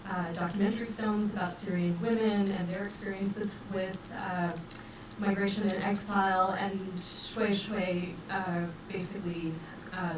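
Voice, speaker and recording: A middle-aged woman, a film director speaking English as her first language, giving measured, thoughtful answers with many fillers in a festival interview. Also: mid-range voice. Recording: roomy, distant mic with noise.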